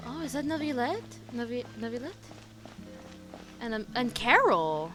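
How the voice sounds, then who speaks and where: A woman streaming a game, reacting out loud in a casual, expressive chat style. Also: on the high side, normal speed. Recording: game music behind the voice.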